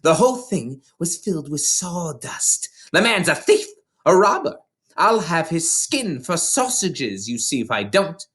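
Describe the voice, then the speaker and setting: A reader voicing a bullying, contemptuous older headmistress from a storybook, scolding with heavy, drawn-out emphasis. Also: medium pitch, sing-song, a bit bright, pitched above the performer's own voice. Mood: furious. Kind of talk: storybook character voice in a read-aloud.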